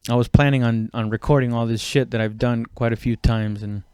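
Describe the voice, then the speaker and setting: A man chatting casually and haltingly as a comedian on his own podcast, swearing freely. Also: mid-range voice, steady tone, a little mellow, clear, resonant tone, dry recording. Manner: informal, halting, heavy swearing. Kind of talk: casual rambling solo chat.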